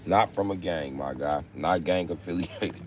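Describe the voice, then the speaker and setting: A man's voice: deep voice, moderate pace, background noise. A man in his late thirties chatting casually on a livestream, brash and blunt, with slangy, profane talk. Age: late 30s. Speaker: live streamer. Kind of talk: casual profane livestream chat answering commenters.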